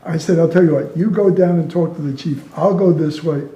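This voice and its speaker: Older man, mellow voice in echoey room. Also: a little high, fairly quick, highs cut off. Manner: amused, chuckles through own stories, reenacts dialogue. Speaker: retired air traffic controller telling stories. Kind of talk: casual anecdotal storytelling. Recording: roomy, echoey sound.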